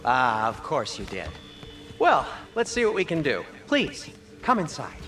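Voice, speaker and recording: A man's voice: mid-range voice, wildly swooping pitch, measured pace, fairly bright tone, faint music behind. An actor playing a fussy, polite dwarf host in a video game, prim and formal in his wording. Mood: polite, flustered. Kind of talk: cinematic video game acting.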